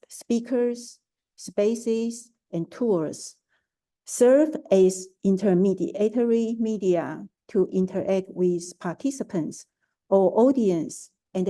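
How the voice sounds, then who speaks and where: A woman with a Taiwanese accent talks at an unhurried, measured pace, often stopping to hesitate or restart a word. Her tone is very dark and warm. She sounds like an artist presenting a prepared talk over a video call. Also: medium pitch. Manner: careful and halting, with frequent hesitations and restarts. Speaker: artist, curator and art educator. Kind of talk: prepared talk at an online forum.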